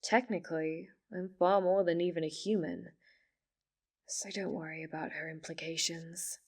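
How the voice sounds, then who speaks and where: A woman playing a polite, obsessively devoted fox spirit in an ASMR roleplay, speaking in formal, composed phrases with quiet menace. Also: fairly low pitch, steady tone, distant mic, close to an everyday voice. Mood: calm, reassuring. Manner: formal, measured, composed wording.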